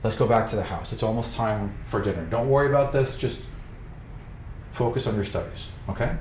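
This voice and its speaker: A man's voice: on the low side, animated, a little fast, darkish tone, a little hiss or hum, pitched below the performer's own voice, natural voice. A man playing a stern, protective older brother and soldier in a tabletop game, speaking with urgent, terse commands. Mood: subdued, earnest. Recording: roomy echo, music behind.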